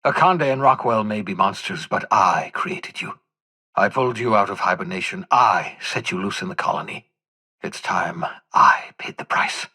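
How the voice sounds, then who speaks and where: An older man acting as an embittered, desperate renegade scientist in a video game, with a raspy voice and a theatrical, sarcastic, erudite manner. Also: lowish voice, moderate pace, bright, forceful tone, exaggerated voice. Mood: regretful, resolute.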